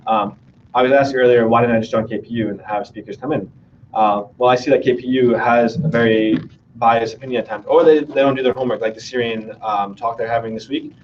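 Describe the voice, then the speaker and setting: A young man from New Jersey speaks earnestly to a student meeting, weighing his words with small pauses. He sounds distant from the microphone, and the recording is a little dull. A faint hiss sits under his voice. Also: medium pitch, steady tone. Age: young adult. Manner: earnest, measured, slightly halting. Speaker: student senate candidate. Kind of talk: speech to a meeting. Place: meeting room. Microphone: room mic from afar.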